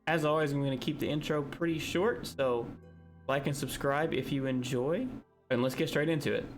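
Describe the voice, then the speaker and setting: A man's voice: a little high, fairly even intonation, moderate pace, soft background music. A man introducing his gaming video in a casual, conversational style.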